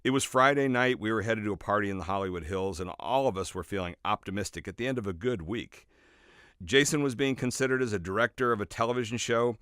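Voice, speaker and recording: A man reading his own nonfiction book as scripted narration, in a measured, expressive storytelling way with emphatic phrasing. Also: on the low side, dry recording, clean studio sound. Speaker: author reading own audiobook.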